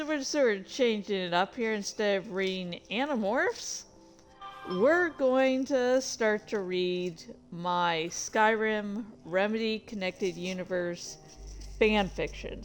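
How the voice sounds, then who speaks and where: A woman streamer chatting casually about her own writing in a halting, rambling, self-deprecating way. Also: medium pitch. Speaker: streamer and fan fiction author. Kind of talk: casual livestream chat.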